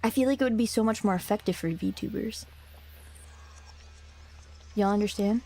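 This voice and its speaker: A young woman streaming, talking casually to her chat in slang and reading game text aloud, a little hoarse from a cough. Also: mid-range voice, moderate pace, dark tone. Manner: slangy, rambling, talks to chat.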